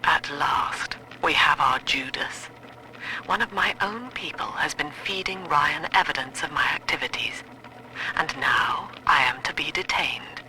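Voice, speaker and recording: An actress playing a cold, cerebral cult leader who dictates an audio diary in a measured, clinical, commanding way. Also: female voice, deep voice, full character voice. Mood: grave, defiant. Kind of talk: dramatic audio-diary monologue. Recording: bright, faintly noisy audio-log tape.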